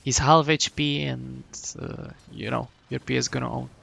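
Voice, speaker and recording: Gravelly-voiced man coaching. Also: mid-range voice, steady tone, a bit bright. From Czech Republic. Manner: halting, many restarts and hums while explaining. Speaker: game coach. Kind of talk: casual coaching talk.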